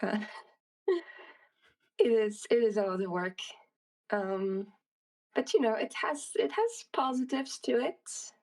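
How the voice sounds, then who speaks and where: A woman's voice: on the high side, very animated, slow, long pauses, a little mellow, distant mic. A woman, a solo game developer, answering interview questions in a casual, thoughtful way with many fillers and restarts.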